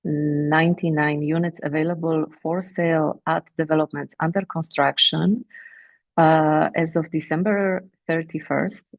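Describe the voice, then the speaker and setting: Low gravelly romanian-accented woman walking through sales numbers on a call, dry close sound, lots of uhs. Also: dry recording, highs cut off. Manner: measured, with frequent filler pauses. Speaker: company executive presenting sales results. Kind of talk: earnings call presentation. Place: remote teleconference.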